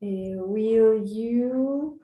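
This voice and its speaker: A woman's voice: on the high side, drawn-out words, echoey room, phone-quality sound. A woman whose native language is Spanish, answering as a student and searching hesitantly for her words in English.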